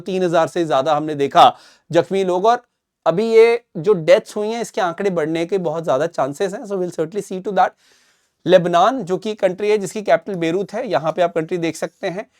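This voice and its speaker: A man's voice: high voice, a little mellow. Emphatic Indian man explaining the news. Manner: mixes Hindi with English phrases, emphatic, didactic. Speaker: current affairs educator and presenter. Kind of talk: explanatory news commentary for students.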